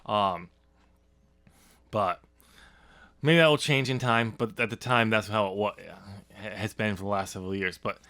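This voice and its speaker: Male podcast host, bright sharp voice, rambling unscripted chat with stumbling restarts. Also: on the low side, no echo. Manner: halting, rambling, self-correcting with stammered restarts. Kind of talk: casual unscripted discussion.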